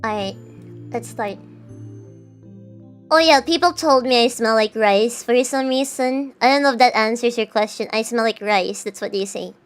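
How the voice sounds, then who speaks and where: High-pitched young woman streaming, chatty and jokey with chat, soft music behind her. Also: normal speed. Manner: chatty, answers chat, self-mocking. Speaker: VTuber streamer. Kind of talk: casual livestream chat. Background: faint background music.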